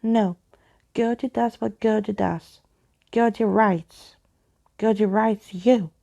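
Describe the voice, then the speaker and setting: A woman voicing a childish, defiant female imaginary creature with a shrill, wailing voice and broken childlike grammar. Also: steady tone, slow, gravelly, warm tone, muffled recording. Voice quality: shrill, squealing. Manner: broken childlike grammar, third-person self-reference.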